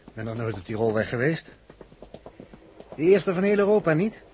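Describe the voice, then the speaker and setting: An inquisitive, careful crew newcomer in an old science fiction radio play. His voice is fairly high and very lively in melody. There is a light hiss on the recording. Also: moderate pace, poor audio. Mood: curious. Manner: inquisitive, animated. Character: Huub, curious, cautious male crew newcomer. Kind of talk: 1960s Dutch radio drama acting. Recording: hissy 1960s radio drama recording.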